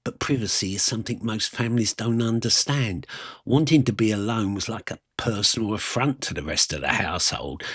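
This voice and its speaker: A man with a husky voice performing a wry, self-mocking rock and roll fan's conversational monologue about his youth. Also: sits low, fairly bright tone, no echo, studio quality, exaggerated voice. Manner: colloquial, self-mocking, reminiscing. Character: Johnny, wry music-mad eighteen-year-old English rock and roll poser. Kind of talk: first-person dramatic monologue, conversational storytelling.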